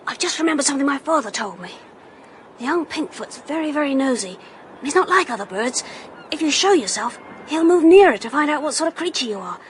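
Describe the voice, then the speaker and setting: A brave british lad in a radio play, high voice, urgent, bit of background noise. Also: male voice, expressive, a little fast, a bit bright. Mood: urgent, resourceful. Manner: urgent, decisive, well-spoken. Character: plucky, quick-thinking boy leading an escape. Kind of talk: British radio drama acting.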